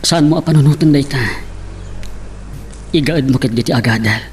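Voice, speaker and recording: A man's voice: mid-range voice, normal speed, natural voice. A man acting a defiant, devoted young son in love, in an Ilocano romantic radio drama with faint noise behind him. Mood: devoted, reassuring.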